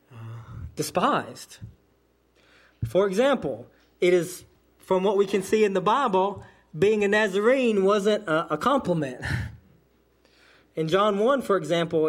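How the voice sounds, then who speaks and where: A man, preaching a sermon as pastor and explaining scripture with frequent self-corrections. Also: fairly high pitch. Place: church sanctuary.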